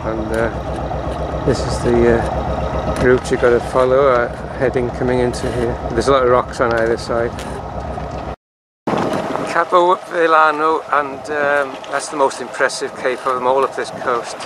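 A man's voice: mid-range voice, steady tone, unhurried. A man narrating a casual video diary from his sailboat, hesitant and rambling, with many ums and uhs, recorded on a handheld camera. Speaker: solo sailor filming his voyage. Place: on a sailboat at sea and in harbour. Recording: noisy outdoor camera audio with wind. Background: wind and water noise.

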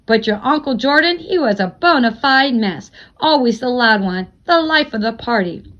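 A woman reading a novel aloud as expressive narration, like a teacher reading to a class. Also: on the high side, dull audio.

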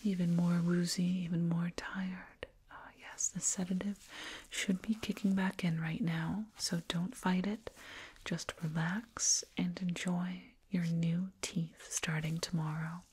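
A woman playing a soothing, proud alien dentist in an ASMR roleplay, whispering gently and repetitively up close. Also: lowish voice, level tone, slow pace, heavily acted. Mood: soothing, hypnotic. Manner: gentle, repetitive, reassuring murmur. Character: soothing, proud alien dentist with eerie delight in sharp teeth. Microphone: binaural mic, up close. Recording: close binaural mic, clear noise.

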